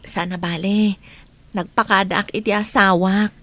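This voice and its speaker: A woman acting a kind, caring younger woman in a Philippine radio drama, with a gentle, coaxing way of talking. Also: mid-range voice, steady tone, measured pace.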